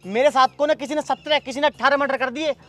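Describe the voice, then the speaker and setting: A man's voice: extremely high pitch, steady tone, fast talker, a bit bright, a little hiss or hum. A man playing a hot-headed, boastful village henchman in a comedy sketch.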